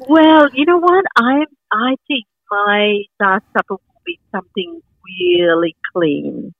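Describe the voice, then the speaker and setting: A woman's voice: mid-range voice, animated, slow pace, no room sound, phone-quality sound. A middle-aged woman from Sri Lanka with a Sri Lankan accent, chatting enthusiastically as an interview guest, rambling with many fillers. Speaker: guest, market founder and farmer. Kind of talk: casual interview chat.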